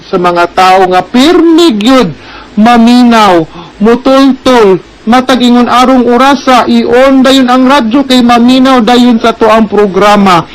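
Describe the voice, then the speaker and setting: A Cebuano Filipino man with a notably high-pitched voice speaks as a radio host, taking his time over each phrase. He addresses listeners conversationally and keeps adding little tag questions. A light layer of background noise sits under his voice. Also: poor audio. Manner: frequent tag questions and filler checks with listeners. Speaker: radio advice programme host.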